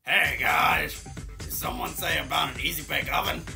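A man's voice: on the high side, normal speed, gravelly, pushed and bright, soft background music, exaggerated voice. A man voicing a goofy, offhand, scheming cartoon villain with a drawling delivery in a comedic fan dub. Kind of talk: comedic fan dub of video game cutscenes.

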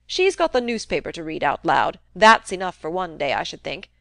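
Crabby old landowner, loud and pushy, dry close sound, a woman reading him. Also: lowish voice, very animated, normal speed, dry recording, exaggerated voice. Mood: cantankerous. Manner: gruff, wheedling, loud and emphatic. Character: cantankerous domineering old country man.

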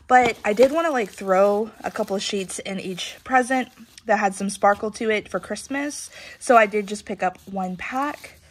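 A woman's voice: a little high, slight background noise. A woman with a stuffy, congested nose, chatting in a rambling, casual way, with many "um"s and "like"s, while showing a shopping haul on her living room floor. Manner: chatty, rambling, frequent "um" and "like", laughs often. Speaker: reseller vlogger.

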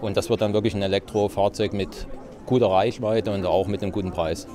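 Low, even-toned man in interview. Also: fairly quick, crowd noise. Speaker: dealership managing director, interviewee. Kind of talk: spontaneous interview talk. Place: car showroom, indoors. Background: music.

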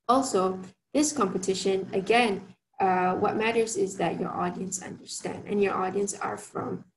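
A soft-voiced woman from Malaysia giving a workshop talk over a video call, chatty, with many fillers and restarts. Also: mid-range voice, vocal fry, poor audio. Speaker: workshop speaker. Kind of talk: online presentation talk.

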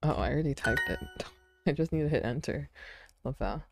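A woman streamer chatting casually with friends while she draws, relaxed and laughing often with lots of fillers. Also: extremely low pitch, a little fast, vocal fry, over music. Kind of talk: casual chat while drawing.

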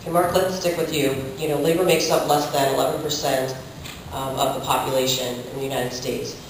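A man's voice: medium pitch, fairly even intonation, brisk. A man moderating a conference panel and putting questions to the speakers. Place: hotel conference ballroom, recorded from the audience. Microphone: distant camera picking up the room sound. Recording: distant, very echoey room recording, clear noise.

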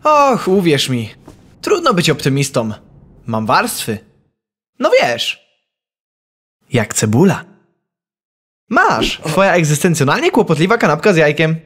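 A man playing a kindly but secretly gloomy young dog student in a comedic anime parody dub, with a husky voice. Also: on the high side, wildly swooping pitch, measured pace, long pauses, a bit bright, heavily acted. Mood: wry.

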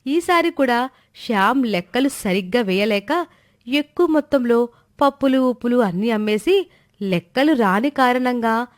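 A woman's voice: fairly high pitch, lively intonation, normal speed, no echo, studio quality. A woman narrator reading a scripted moral story in a storytelling style.

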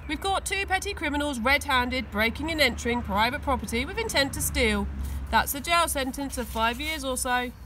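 A woman's voice: high-pitched, animated, moderate pace, pushed and bright, recorded from a distance, a little hiss or hum. A woman voicing a smug, pompous, mocking male police sergeant in a children's story, recorded outdoors. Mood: gloating. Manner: gloating, pompous.

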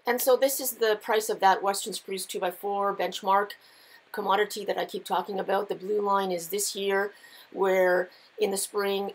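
A woman's voice: medium pitch, breathy edge, low-quality recording. Middle-aged woman, conversational explainer. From Canada. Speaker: lumber market analyst and host. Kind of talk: informal market commentary to camera. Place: small indoor office with shelves of files.

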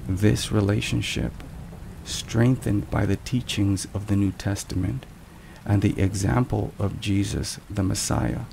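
A man giving a hushed, solemn, measured devotional narration in a soft ASMR style. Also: lowish voice, creaky, low-quality recording. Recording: rain and thunderstorm noise behind. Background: steady rain and thunder.

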